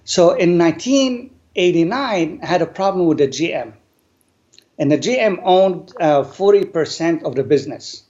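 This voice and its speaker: Man with a higher voice. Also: animated, darkish tone. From Lebanon. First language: not English. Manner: earnest, deliberate life story with emphatic repetition. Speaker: guest, business owner. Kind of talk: interview storytelling. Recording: dull lo-fi sound.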